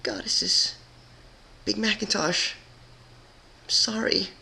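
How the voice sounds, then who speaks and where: Man acting out an anguished high-pitched unicorn queen. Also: slow, gravelly, pushed and bright, a little hiss or hum, heavily acted. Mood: grief. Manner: indignant, impassioned pleading. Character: Twilight, anguished powerful female unicorn ruler.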